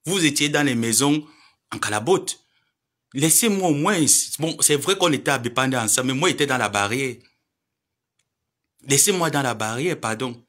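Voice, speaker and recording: A man's voice: mid-range voice, expressive, normal speed, pauses often, fairly bright tone. A man, lecturing and pleading in a social media live monologue. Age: 30s. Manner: preachy, lecturing, pleading then scolding.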